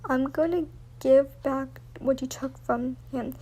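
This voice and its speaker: Woman voicing a calm, vengeful cloaked mother, high-voiced. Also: slow, a little hiss or hum. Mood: calm menace.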